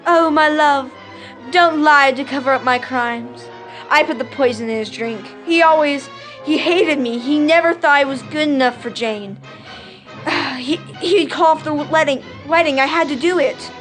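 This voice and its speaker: Woman acting a high, lovelorn young fiance. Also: moderate pace, fairly bright tone, faint music behind. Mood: anguished confessing. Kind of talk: comic radio melodrama.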